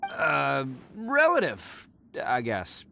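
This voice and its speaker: A man's voice: a little high, huge pitch swings, extremely slow, gravelly, sharp tone, slight background noise, heavily acted. A man voicing a brash, boastful but big-hearted young oni gang leader in a video game, with a cocky, informal manner. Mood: earnest. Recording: faint music under the voice.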